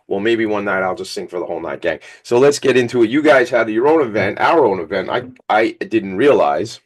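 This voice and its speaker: A man hosting a radio talk show with a slightly hoarse, scratchy voice, talking in an energetic, rambling, hype-man way. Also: sits low. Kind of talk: casual hype radio chat.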